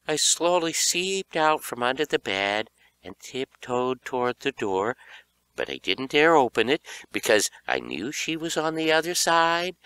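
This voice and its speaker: Male audiobook narrator, dramatic suspense storytelling, bright clear voice, unhurried pace. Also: mid-range voice, no room sound. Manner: animated, expressive delivery. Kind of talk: dramatic scripted storytelling for young horror fiction.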